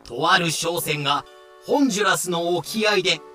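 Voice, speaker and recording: A man's voice: a little high, moderate pace, pushed and bright, soft background music. A man narrating an audio drama from a script in a dramatic storytelling style.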